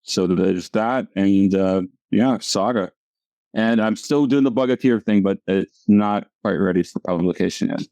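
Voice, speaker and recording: A comic artist man with a lowish voice chatting casually, often stumbling and repeating himself as he searches for words. His tone is warm and relaxed, and the recording is dry. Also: dry recording. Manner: halting, stumbling over words. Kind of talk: casual chat over a video call.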